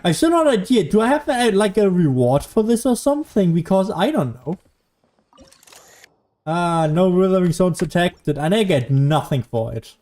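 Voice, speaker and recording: A man's voice: high-pitched, very animated, moderate pace, dark tone. A man giving casual, sarcastic commentary as he plays a video game. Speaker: gaming streamer. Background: faint game music.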